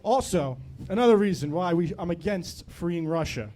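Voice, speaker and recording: A man's voice: high-pitched, expressive, normal speed, warm tone. A man giving a crude, profane comedy rant in a mock debate. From United States.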